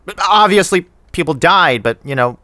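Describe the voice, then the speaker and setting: An actor playing an earnest, nervous friend in an audio drama, talking in a hesitant, halting way. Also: male voice, fairly high pitch, lively intonation, pushed and bright, no echo. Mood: earnest, reassuring. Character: awkward, helpful male friend, nervous and earnest. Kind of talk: conversational audio drama acting.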